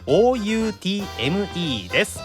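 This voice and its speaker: Animated, high-pitched Kansai man hosting. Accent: Kansai Japanese. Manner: animated, quick-reacting straight man who retorts. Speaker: podcast host. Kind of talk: lively casual banter and segment hosting. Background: faint background music.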